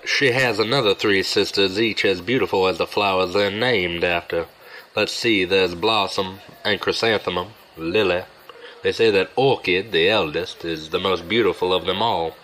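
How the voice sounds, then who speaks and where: Guy playing sly gambler pal in audio drama, low forceful voice, wry n confiding, faint noise behind. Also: normal speed, low-quality recording. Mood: confiding, teasing. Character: sly, regretful gambler, smooth-talking old buddy, man.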